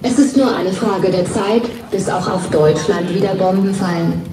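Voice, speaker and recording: A woman's voice: medium pitch, moderate pace, heavy background noise. A woman giving a declamatory rally speech with chanted slogans. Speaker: rally speaker. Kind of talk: speech over loudspeakers. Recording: distant echoey loudspeaker with loud music.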